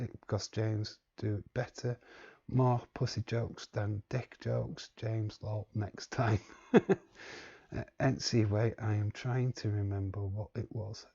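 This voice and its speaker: Man host, low husky voice, slow and rambling, live-stream chat feel. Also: warm tone, lo-fi sound. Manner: rambling, reads chat aloud, chuckles often. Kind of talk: casual live watch-along chat.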